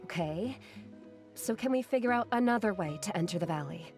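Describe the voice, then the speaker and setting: A woman voicing a quiet, shy young woman who speaks hesitantly and modestly. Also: medium pitch, animated, a little fast, music in the background, close to an everyday voice. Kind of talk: game voice acting.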